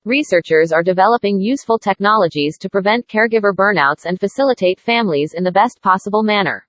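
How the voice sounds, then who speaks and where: A woman's voice: medium pitch, fast, fairly bright tone, no room sound. A woman reading a scripted academic passage aloud for language test practice.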